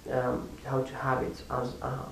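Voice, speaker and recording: A man's voice: mid-range voice, monotone, gravelly, fairly bright tone. Male, non-native accent, hesitant repetitive phrasing, informal vlogger talk, distant mic, faint background noise. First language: not French or English. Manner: halting, repeats words while searching for phrasing. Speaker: amateur language-learning vlogger. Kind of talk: casual unscripted vlog talk. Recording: echoey room, distant mic, faint hiss.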